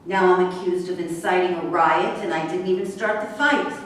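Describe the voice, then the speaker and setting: A woman's voice: fairly low pitch, steady tone, moderate pace, fairly bright tone, close to an everyday voice. A woman reading the part of a stubborn, defiant young woman in a staged play. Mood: exasperated. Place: echoey room at a live staged reading. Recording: echoey room, far mic, faint noise.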